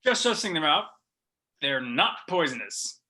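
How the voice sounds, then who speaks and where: A man's voice: on the high side, sing-song, measured pace, sharp tone, full character voice. A man reading the part of a breezy, nerdy, wisecracking teenage best friend, in an amateur script read. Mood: wry, playful.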